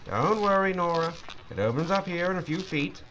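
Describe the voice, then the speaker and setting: An actor playing a brisk upper-class wartime British officer in an audio drama, with a British accent and a clipped, gruff manner. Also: male voice, sits high, lively intonation, fairly bright tone, highs cut off, slight background noise. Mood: brisk, reassuring.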